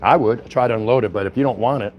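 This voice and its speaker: A man's voice: medium pitch, fast, highs cut off. A man chatting casually to his viewers in a rambling, folksy, slangy way as he vlogs on a walk. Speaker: vlogger livestreaming a walk. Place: on a walk around a city, stopping at a convenience store. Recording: street and vehicle noise behind. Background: traffic and ambient noise.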